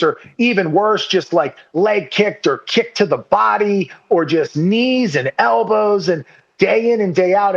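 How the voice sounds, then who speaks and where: A man's voice: high-pitched, gravelly, muffled recording. Casual guy swearing, saying "dude" a lot. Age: under 40. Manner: swears freely, says "dude" and "like" constantly; rambling, self-deprecating, laughs at himself. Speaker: podcast guest. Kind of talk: casual conversational chat.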